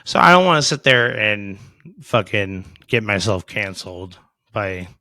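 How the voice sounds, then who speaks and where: A man in his thirties talks bluntly in a casual podcast conversation, swearing often and getting animated as he goes. His voice sits fairly low. The sound is close and dry, with no room around it. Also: measured pace, dry recording. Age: 30s. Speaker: podcast guest.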